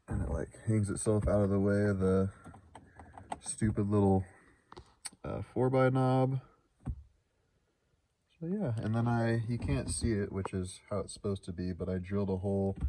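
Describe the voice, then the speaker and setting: Very low-voiced man, casual and crude. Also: darkish tone, slight background noise. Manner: crude, swearing, joking, offhand. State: tired. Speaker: vlogger showing truck upgrades. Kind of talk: casual unscripted walkaround vlog.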